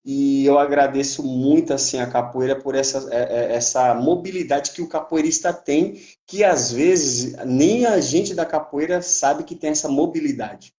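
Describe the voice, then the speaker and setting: A man's voice: mid-range voice, normal speed, hardly any pauses, mellow. A man speaks in a mid-range voice. He talks hesitantly, with fillers and restarts, as a guest on a video-call live stream. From Brazil. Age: 30s. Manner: hesitant, many fillers and restarts, uneven pace. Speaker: capoeira instructor, guest.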